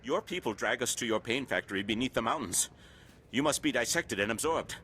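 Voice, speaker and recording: A man's voice: mid-range voice, expressive, brisk, sharp tone, slight background noise. A man playing an anguished, menacing captive absorbed into an insect hive mind, speaking eerily as a collective in a video game. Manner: eerie, speaks of himself as a collective. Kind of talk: video game character acting.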